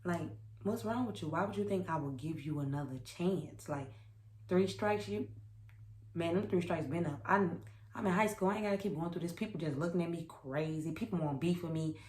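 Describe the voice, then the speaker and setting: Young woman doing storytime vlog, deep-ish voice, sassy n emphatic, echoey room. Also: a little fast, a little hiss or hum. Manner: animated, emphatic, sassy, repeats phrases for emphasis. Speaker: vlogger telling a personal story. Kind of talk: casual storytime chat to camera. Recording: roomy echo.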